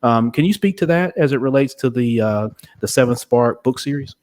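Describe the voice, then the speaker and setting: A man's voice: mid-range voice, animated, normal speed, darkish tone. A man hosting a conversational podcast interview, wordy and hesitant, often circling back to rephrase his questions.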